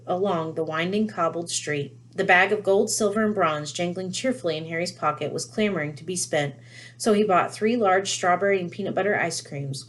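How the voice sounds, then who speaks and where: A woman reading a children's novel aloud in an expressive storytelling style. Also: on the low side. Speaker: read-aloud reader.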